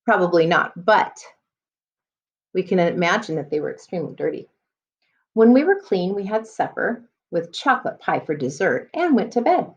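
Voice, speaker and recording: Woman teacher in dull lo-fi audio. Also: fairly low pitch. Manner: explanatory, pauses to question and address listeners. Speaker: elementary school teacher. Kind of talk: read-aloud reading lesson for children.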